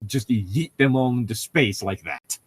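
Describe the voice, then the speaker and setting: A man's voice: on the low side, a little slow, husky, no room sound. A man co-hosting a casual podcast, chatting in a rambling, digressive way with some swearing as he comments on a book. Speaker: podcast co-host. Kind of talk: casual chat and commentary while reading a novel aloud.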